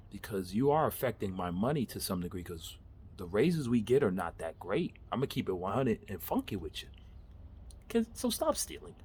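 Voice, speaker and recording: A man's voice: on the low side, a little mellow, husky. A man in his thirties giving a candid, rambling motivational monologue in his backyard, laughing at himself and swearing casually. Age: 30s. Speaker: vlogger.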